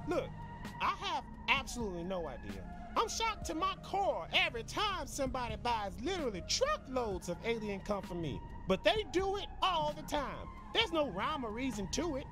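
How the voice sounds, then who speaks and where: High swooping voice, sleazy chatty alien peddler, brash, rambling, video game comedy. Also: male voice, very animated, normal speed, over music. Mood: frank, rambling. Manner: rambling, brash, salesman patter. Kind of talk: improvised-sounding comedic video game dialogue.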